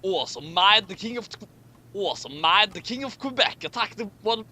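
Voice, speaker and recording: Male comedy reader, bright forceful voice, swinging intonation, mocking asides, stumbling and repeating lines. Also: high voice, expressive, brisk. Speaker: host of a comic fan fiction reading. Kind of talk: dramatic reading with mocking commentary.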